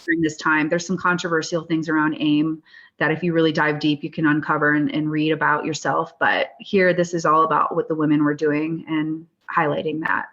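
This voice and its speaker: A woman's voice: low-pitched, flat delivery, brisk, fairly bright tone, dull audio. A woman guest artist in an interview, speaking earnestly and thoughtfully, often restarting her sentences. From Standing Rock, US. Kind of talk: interview conversation. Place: Denver, US.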